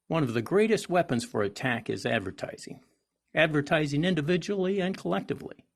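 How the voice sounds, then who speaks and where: Gravelly-voiced man reading a rousing article. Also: a little high. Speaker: reader of a historical article. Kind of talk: oratorical read-aloud of a persuasive article.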